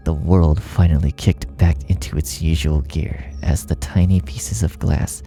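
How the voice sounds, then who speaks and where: A man with a low, very dark voice reads horror fiction as a narrator. His delivery is dramatic and gravelly, often sinking into a breathy hush, with music playing behind him. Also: steady tone, measured pace. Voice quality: gravelly, often dropping to breathy near-whisper.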